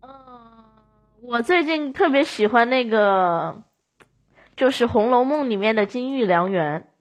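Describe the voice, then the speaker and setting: A woman's voice: a little high, expressive, a little slow. A woman talking casually as a speaker on a podcast, in an everyday conversational way.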